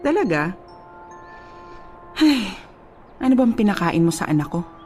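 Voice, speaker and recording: A woman's voice: mid-range voice, very animated, a little slow, darkish tone, faint music behind. A woman playing a haughty, disapproving middle-aged mother in a radio drama, with a Filipino accent and a sarcastic, cutting manner. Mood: scornful.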